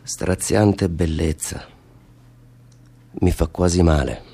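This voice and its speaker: A man's voice: slow, mellow, heavily acted. An actor playing a ruthless zealous man of power in a theatrical radio drama, declaiming in an insistent, rhetorical way. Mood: cool, restrained. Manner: declamatory, rhetorical, insistent, swelling from low to high.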